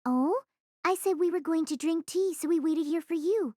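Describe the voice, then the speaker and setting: A woman's voice: very high-pitched, normal speed, darkish tone, no echo, studio quality. A woman playing a cheeky, scheming teenage schoolgirl in an audio drama, breezy and teasing. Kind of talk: anime-style audio drama acting.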